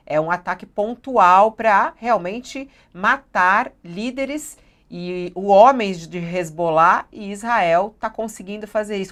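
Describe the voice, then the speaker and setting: A woman's voice: medium pitch, fairly bright tone. A Brazilian woman hosting live news, presenting in an improvised, conversational way. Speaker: news host.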